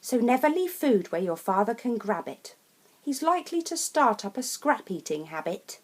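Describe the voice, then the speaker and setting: A woman reads a rhyming children's picture book in a theatrical, deliberate and emphatic way. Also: a little high, sing-song. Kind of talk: expressive rhyming storybook narration.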